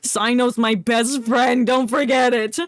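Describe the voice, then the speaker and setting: Woman streamer, higher voice, slow delivery, sarcastic joking commentary, dry close sound. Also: flat delivery, dry recording. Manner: playful, sarcastic, swearing. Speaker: livestreamer. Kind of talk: casual joking game commentary.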